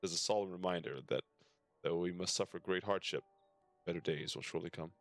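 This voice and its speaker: Deep-voiced man reading game text aloud. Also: steady tone, fast talker. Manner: stumbles over text while reading aloud. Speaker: game streamer. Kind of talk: reading game dialogue aloud with casual comments. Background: game music.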